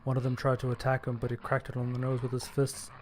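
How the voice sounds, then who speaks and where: A man reading scripted first-person horror fiction as its narrator, with a gravelly voice. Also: medium pitch, monotone, fast, a little mellow, a little hiss or hum.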